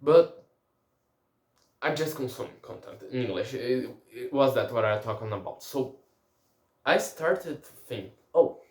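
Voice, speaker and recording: A man whose first language is Portuguese talks slowly and haltingly, restarting his sentences as he hunts for words. His medium voice rings in a roomy, echoey space. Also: mid-range voice, expressive. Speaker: language learner. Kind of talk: casual spoken language diary.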